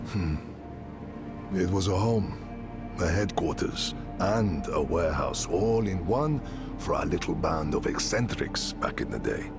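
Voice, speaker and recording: A man's voice: sits low, lively intonation, a little slow. An actor playing a wry, fatherly middle-aged mercenary leader and smith in a video game. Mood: friendly. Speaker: game character. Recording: game soundtrack music behind. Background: game music.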